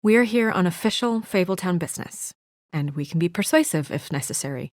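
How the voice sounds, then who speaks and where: A woman's voice: lowish voice, animated, brisk, dry recording, natural voice. A woman acting as a composed, earnest young investigator in a noir fantasy video game, serious and restrained with a dry edge. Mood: businesslike. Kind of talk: video game voice acting, naturalistic dramatic dialogue.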